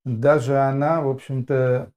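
A man with a warm, mellow voice at an ordinary pitch. He talks casually and hesitantly, breaking his sentences into short pieces. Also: fairly even intonation. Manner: hesitant, halting phrasing. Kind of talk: casual discussion.

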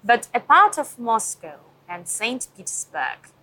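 A woman's voice: fairly high pitch, very animated, a little slow, sharp tone, rough recording. Woman interviewer asking polite questions. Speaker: TV correspondent, interviewer.

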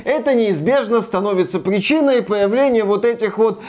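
A man's voice: high-pitched, normal speed, a little mellow. A man co-hosting a casual commentary show in a wry, joking, ironic manner. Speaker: gaming news podcast co-host.

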